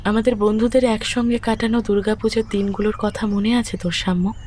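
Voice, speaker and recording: A woman with a Kolkata Bengali accent playing a grieving, resolute young widowed mother in an audio story, speaking firmly and deliberately. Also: medium pitch, little pitch movement, darkish tone, a little hiss or hum, natural voice. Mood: wistful. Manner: solemn, deliberate, firm. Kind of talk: romantic audio drama dialogue. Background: background music.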